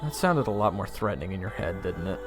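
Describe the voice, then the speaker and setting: An unimpressed young fantasy soldier, played by a man, with a lively, shifting pitch. He talks casually and haltingly, with dry cheek, in an audio drama with music underneath. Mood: dry, defiant. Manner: casual, dryly sarcastic, halting. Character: young army private hero, unimpressed, cheeky and deadpan. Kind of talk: fantasy audio roleplay acting with first-person narration.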